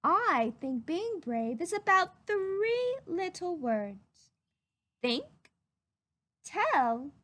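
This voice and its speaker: A woman reading a storybook, voicing a gentle, reassuring mother raccoon who speaks tenderly and patiently. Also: high-pitched, full character voice. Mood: encouraging. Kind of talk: read-aloud children's storybook.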